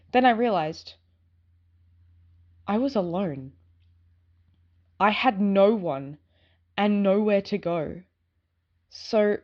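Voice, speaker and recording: A woman playing an excitable, dog-like young werewolf in an audio drama, talking in an informal, rambling way. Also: mid-range voice, highs cut off. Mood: somber. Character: excitable dog-like young female werewolf giving her statement. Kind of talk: audio drama acting, first-person storytelling.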